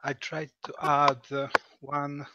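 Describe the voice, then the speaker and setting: A man from Poland whose first language is Polish, calling in and pausing often as he searches for words. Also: fairly high pitch, completely flat delivery. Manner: hesitant, searching for words with many pauses. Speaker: caller. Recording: muffled call audio with background noise.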